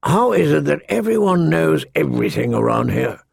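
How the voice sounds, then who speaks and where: A man voicing a gruff, grumbling old male military commander who sounds weary and disdainful. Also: on the high side, big pitch swings, normal speed, no room sound, clean studio sound, full character voice.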